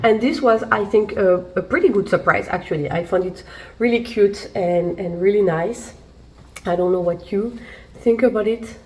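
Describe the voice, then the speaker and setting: A woman's voice: mid-range voice, moderate pace, darkish tone, low-quality recording. Chatty woman vlogger with a fading cold. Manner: chatty, many fillers and restarts. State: recovering from a cold. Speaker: fashion vlogger. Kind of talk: casual try-on haul review. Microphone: camera mic while seated.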